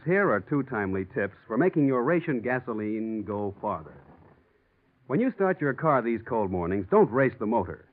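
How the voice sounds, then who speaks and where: A tinny vintage radio recording of a male announcer. He reads sponsor copy in 1940s style, with a middling pitch that swings in a lively way.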